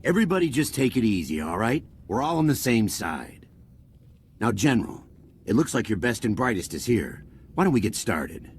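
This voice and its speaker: A man's voice: medium pitch, big pitch swings, normal speed, a little hiss or hum. An actor playing an easygoing male security officer and peacemaker in a video game, laid-back and informal. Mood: easygoing, placating.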